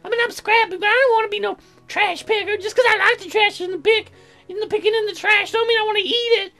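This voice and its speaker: Very high-pitched, whiny, dim-witted young woman for a comic game, childish slangy grammar. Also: quick pace, pushed and bright, faint music behind, exaggerated voice. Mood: whiny complaining. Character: whiny, dim, food-obsessed young female colonist neighbor. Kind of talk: game character voiced in a let's play.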